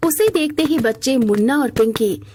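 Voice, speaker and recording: A woman's voice: high voice, animated, normal speed, dark tone, slightly rough. A woman narrating a scripted moral story as its storyteller.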